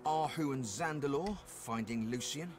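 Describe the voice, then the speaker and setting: An actor playing a solemn narrator in a fantasy game, declaiming titles formally. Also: male voice, medium pitch, normal speed, music in the background, close to an everyday voice.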